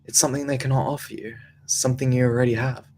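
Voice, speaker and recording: An actor playing a wistful, mysterious traveller, gentle and cryptic, in a script table read with faint noise. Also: male voice, mid-range voice, fairly even intonation, fast, natural voice. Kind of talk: live table read of a screenplay.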